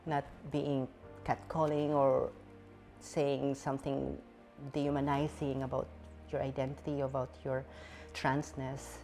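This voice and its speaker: A woman's voice: extremely low pitch, flat delivery, a little slow, mellow, faint music behind. A woman originally from the Philippines speaking earnestly and unhurriedly in an interview. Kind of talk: personal statement.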